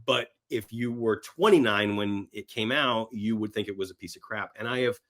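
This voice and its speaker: A middle-aged man talking casually as a podcast guest, animated in his storytelling and repeating phrases for emphasis. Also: on the low side, normal speed. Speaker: podcast guest, horror film screenwriter and actor. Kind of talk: casual film chat.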